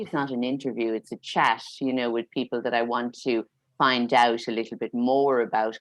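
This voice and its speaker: A woman's voice: a bass voice, dry recording. A woman hosting a podcast, introducing her guest in an effusive, chatty way. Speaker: podcast host. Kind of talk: casual chat.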